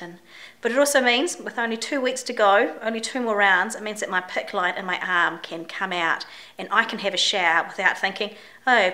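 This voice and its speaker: A middle-aged New Zealand woman with a bright, forward voice and a slight croak. She talks openly and chattily, with fillers and restarts, in a personal video diary. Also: medium pitch. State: croaky voice from a chest infection. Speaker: vlogger.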